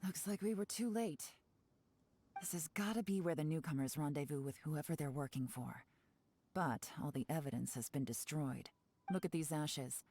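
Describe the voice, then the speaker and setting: Brief: a poised game spy, low and mellow voice, soft music behind. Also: female voice, a little hiss or hum. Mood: assured. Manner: calm, measured, knowing. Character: cool, composed woman intelligence agent. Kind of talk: game dialogue.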